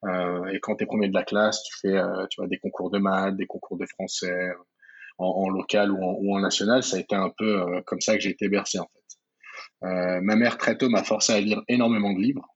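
A man in his thirties from the Paris region talks about his past in a relaxed interview, often pausing on fillers and starting his sentences over. His voice sits low and a little husky. The sound is muffled and lo-fi. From France, Paris region. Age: 30s. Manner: hesitant, many fillers and restarts. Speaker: guest entrepreneur. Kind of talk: casual interview talk.

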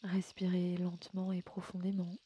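A woman leading a guided sleep meditation in a gentle, soothing, unhurried way, often slipping into a breathy near-whisper. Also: lowish voice, completely flat delivery, slight background noise. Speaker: yoga nidra guide and podcast host. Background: music.

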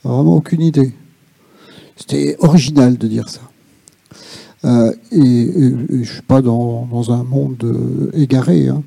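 Male discussion participant, halting and reflective, very dark timbre with gravelly creak. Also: mid-range voice, fairly even intonation, dry recording. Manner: halting, searching for words, reflective. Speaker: audience participant in a policy workshop. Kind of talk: spontaneous spoken remarks at a public discussion.

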